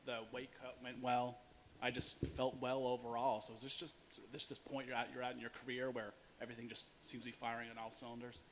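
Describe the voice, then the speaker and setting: A man's voice: mid-range voice, level tone, a little fast, fairly bright tone, rough recording. A man working as a reporter, asking an athlete questions at a press conference. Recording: faint hiss and noise.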